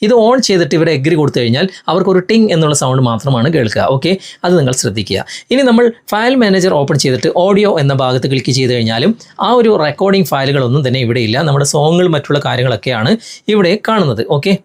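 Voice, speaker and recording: Male tutorial host, Malayali accent, slightly high voice, very quick delivery, instructional and step-by-step. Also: very animated. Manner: instructional, step-by-step, addressing viewers directly. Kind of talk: explanatory phone tutorial narration.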